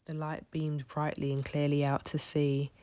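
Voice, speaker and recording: A woman's voice: low-pitched, completely flat delivery, normal speed, warm tone. A woman storyteller reading a children's picture book aloud as scripted narration.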